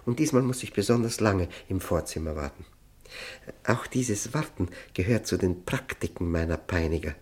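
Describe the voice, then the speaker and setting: A man's voice: deep voice, animated, moderate pace. A desperate male prisoner narrating a radio play. His delivery swings widely in melody, intense and obsessive. He halts and repeats words as he recounts his confinement. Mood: bitter, resigned. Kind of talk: German radio play, dramatic first-person narration.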